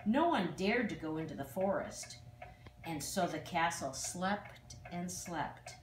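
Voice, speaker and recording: Older woman, storyteller, animated swoops, dramatic reading, distant mic, faint background noise. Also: lowish voice, slow pace, reverberant. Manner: expressive, dramatic emphasis on exclamations. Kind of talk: children's fairy tale read aloud.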